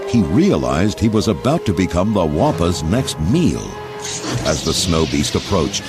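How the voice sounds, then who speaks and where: A man narrating a scripted storybook read-along in a dramatic storytelling manner. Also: low-pitched. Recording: loud music under narration.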